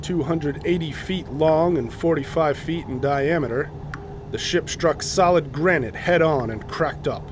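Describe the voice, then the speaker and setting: A man's voice: on the high side, unhurried, noisy background, lightly acted. An actor playing a serious male expedition officer, giving a firm, earnest briefing in a radio drama. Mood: grave, explanatory. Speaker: second in command of a polar expedition.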